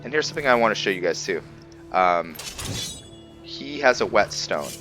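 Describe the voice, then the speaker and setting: A man's voice: fairly low pitch, big pitch swings, normal speed, music in the background. A man hosting a gameplay video, talking informally and thinking aloud to his viewers in casual roleplay commentary. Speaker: gaming video host.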